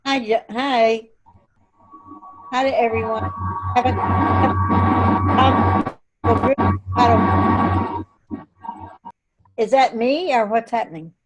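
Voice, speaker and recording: A woman in her eighties on a video call, chatting casually and meandering through her thoughts with frequent pauses. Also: on the high side, very animated, highs cut off, loud music behind the voice. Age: 80s. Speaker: call participant.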